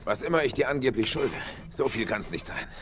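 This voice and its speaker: A man's voice: mid-range voice, lively intonation, brisk, background noise, lightly acted. A man playing an irritable, sarcastic middle-aged loner in a video game dub, grumbling and blustering. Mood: grudging.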